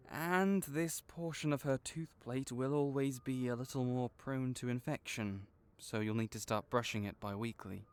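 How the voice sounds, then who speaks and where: A man playing a gentle, caring vet for magical creatures in an audio roleplay, speaking in a measured, reassuring, explanatory way. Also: mid-range voice, clear, resonant tone, lightly acted. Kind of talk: soft-spoken one-sided comfort roleplay.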